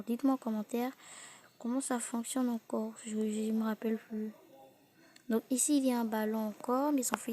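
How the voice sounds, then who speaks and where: A young woman with a cold and a blocked nose, giving a casual, halting improvised tour commentary as a video host, often repeating words and exclaiming. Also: fairly high pitch, darkish tone. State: sick with a cold. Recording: noisy amateur recording with clicks.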